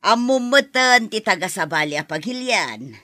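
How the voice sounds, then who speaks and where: A woman voices a concerned relative who keeps pressing with questions. Her voice is bright and tense, and the sound is dry and close. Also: mid-range voice, very animated, moderate pace, no echo. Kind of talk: Ilocano radio drama acting.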